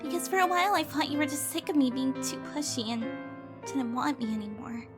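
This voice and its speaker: A woman's voice: high voice, animated, fairly quick. A woman playing an earnest, wounded and insecure young woman in a dramatic audio drama, with music behind her. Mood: tentative, vulnerable.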